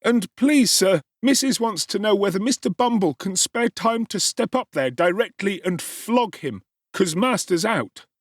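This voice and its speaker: A man doing a shrill, wailing teenage boy. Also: animated, measured pace, no echo. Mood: tattling. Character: whining, sly teenage workhouse boy. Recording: dry close studio sound.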